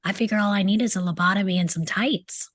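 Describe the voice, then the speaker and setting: A woman's voice: medium pitch, fast, a bit bright, no echo, studio quality, lightly acted. A woman playing a sarcastic, rebellious teenage boy in a script table read, with a mocking, sardonic delivery. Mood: dry mockery. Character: sarcastic rebellious male teenage troublemaker, taunting and cynical.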